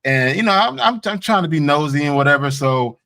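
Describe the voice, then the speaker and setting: A man's voice: on the high side, fairly quick. A man from Haiti, co-hosting a casual podcast chat, who tells stories with energy and stammers as he cuts in. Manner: animated storyteller, repeats words when cutting in. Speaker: podcast co-host.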